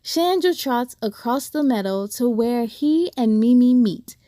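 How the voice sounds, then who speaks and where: A woman hosting a children's read-aloud, speaking in an expressive, deliberate, sing-song way to young listeners. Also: on the high side, dry recording, studio quality. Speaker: host of a read-aloud story series. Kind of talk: expressive children's storybook reading.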